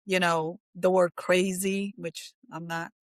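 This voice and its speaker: Female interview guest, middle-aged, raised in New Jersey. Slightly low voice, candid and conversational, dry close recording. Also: flat delivery, takes it slowly, no room sound. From Puerto Rico and New Jersey, US. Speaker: interview guest, counseling center chief executive.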